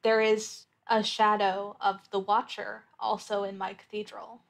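A woman's voice: medium pitch, little pitch movement, measured pace, gravelly. A woman playing a tabletop roleplaying game, talking casually and thinking her answers through aloud in a thoughtful way. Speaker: player in an actual play podcast.

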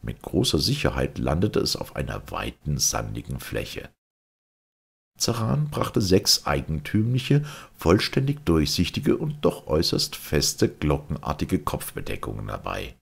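A man with an extremely deep, husky voice reads a literary story as an audiobook narrator. His delivery is deliberate and dramatic, with lively melodic swings.

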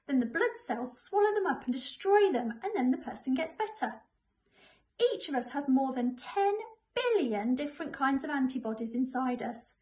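A woman's voice: sits high, darkish tone. A middle-aged woman with an English accent reads a children's information book aloud to primary school pupils. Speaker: primary school staff member reading to pupils.

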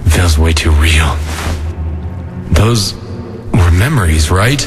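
A man in an animated comic dub playing a weary, laid-back adult skeleton, brooding yet kind, with a low-key, reflective drawl. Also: takes it slowly, music in the background. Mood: troubled, reflective.

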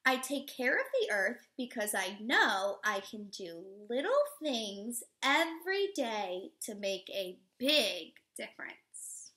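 A woman in her thirties, a teacher reading a picture book aloud to young children in an expressive, instructive way. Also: fairly high pitch, slow, hardly any pauses. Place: living room at home, indoors.

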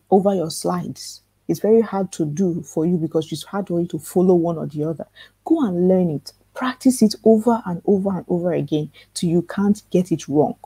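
Woman host, calm soft-spoken voice, mellow and breathy, earnest workshop coach on a webinar mic. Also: on the low side, dry recording. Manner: earnest, repetitive coaching, asks rhetorical questions. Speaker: workshop host teaching authors. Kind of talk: live online workshop lecture. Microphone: computer mic in a live webinar.

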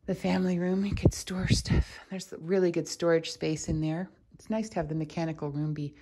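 A woman's voice: fairly low pitch, fairly even intonation, a little fast, mellow. A woman giving a casual, unscripted house tour as a real estate agent, chatting and thinking aloud as she walks through the rooms. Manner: chatty, thinking aloud while walking around. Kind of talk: casual, unscripted walkthrough narration. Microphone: handheld camera or phone held by speaker. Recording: clearly noisy handheld walkthrough recording.

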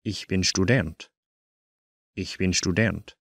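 A man teaching a language course, saying each short phrase twice in slow, careful drills. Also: deep voice, vocal fry, fairly bright tone, breathy edge, dry recording, clean studio sound.

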